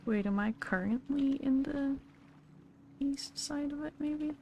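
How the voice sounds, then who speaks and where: A woman's voice: high voice, measured pace, gravelly, a little mellow, slight background noise. A woman streaming a game, casually muttering to herself as she works out a puzzle.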